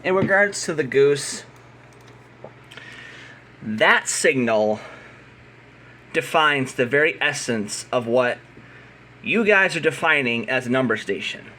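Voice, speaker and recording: An American man speaks off the cuff in a bright, forceful voice, arguing his point bluntly and emphatically. He sounds like a combative hobbyist explainer, recorded with noticeable noise in the background. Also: mid-range voice, lively intonation, slow pace, pauses often. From United States. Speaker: radio signal hobbyist. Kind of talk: unscripted opinionated explainer rant.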